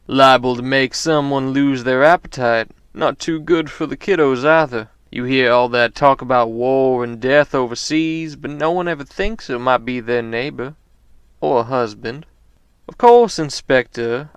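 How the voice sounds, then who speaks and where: A man's voice: medium pitch, smooth, no room sound, natural voice. A man playing a wry, world-weary homeless informant, delivering a theatrical, knowing monologue in a noir audio drama. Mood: sardonic, knowing.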